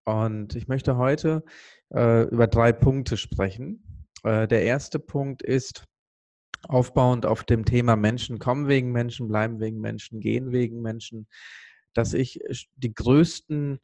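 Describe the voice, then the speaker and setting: A man from Sri Lanka speaking earnestly and with emphasis on a motivational podcast, pausing for weight as he tells a personal story. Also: medium pitch, a little mellow, dry recording.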